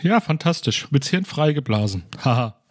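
A man with a husky voice chatting casually as a podcast co-host, colloquial, teasing and wry. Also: medium pitch, animated, moderate pace, dry recording, studio quality. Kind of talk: casual banter.

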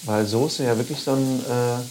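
Hoarse low-voiced woman. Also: normal speed, a little mellow. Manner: chatty, self-mocking, laughs often. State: slightly hoarse. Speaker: podcast host. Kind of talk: casual cooking chat. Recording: noisy kitchen with frying.

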